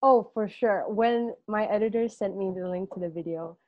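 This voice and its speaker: A young woman from Singapore talks easily as an interview guest, leaning on "like" and letting her sentences fade out softly. Her audio sounds a bit dull and muffled, close and dry with no room around it. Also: mid-range voice, moderate pace, dry recording. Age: young adult. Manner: chatty, heavy use of "like", trails off softly at phrase ends. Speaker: guest, student intern journalist. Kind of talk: casual interview chat.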